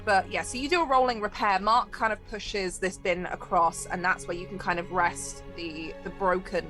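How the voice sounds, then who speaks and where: A woman's voice: medium pitch, fairly even intonation, normal speed, over music. A woman running a tabletop game, narrating scenes off the cuff in a conversational, descriptive way. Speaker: game master.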